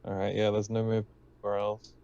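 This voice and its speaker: Man, low pitch, dark mellow tone, casual tabletop player, tentative questioning. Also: monotone, very fast, creaky, clean tone, muffled recording. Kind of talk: casual in-game chat.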